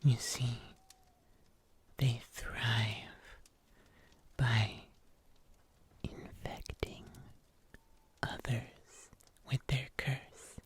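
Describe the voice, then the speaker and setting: A very breathy, whispered voice of a man plays a kindly huntsman who heals people in the woods. He speaks extremely slowly and carefully, pausing often. His manner is gentle and solicitous, in an intimate ASMR roleplay style. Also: medium pitch, little pitch movement, full character voice. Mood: soft concern. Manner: slow, hesitant, careful delivery with long pauses. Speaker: ASMR performer.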